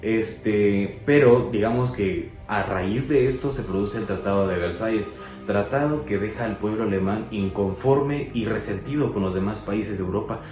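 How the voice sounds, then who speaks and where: A man's voice: lowish voice, normal speed, a little mellow. A man explaining history as co-host in a casual educational chat, with many fillers and restarts. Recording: echoey room with music.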